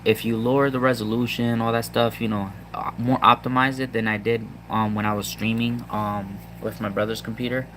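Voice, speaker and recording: Puerto rican guy rambling about gadgets, lots of ums, low monotone. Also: a little hiss or hum. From Puerto Rico. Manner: halting, many ums and restarts. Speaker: tech vlogger. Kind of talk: casual rambling tech talk to viewers.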